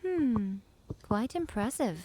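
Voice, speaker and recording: A woman's voice: fairly high pitch, animated, takes it slowly, dark tone. A woman playing a sly, poised, teasing fox shrine maiden in an anime game. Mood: amused, teasing. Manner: poised, teasing, mock-sympathetic.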